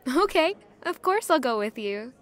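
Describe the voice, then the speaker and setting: A woman's voice: high voice, big pitch swings, normal speed, fairly bright tone. A woman playing a young, anxious, curious castle servant who narrates her own story in an audio drama. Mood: polite, friendly. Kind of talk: first-person audio drama narration and dialogue.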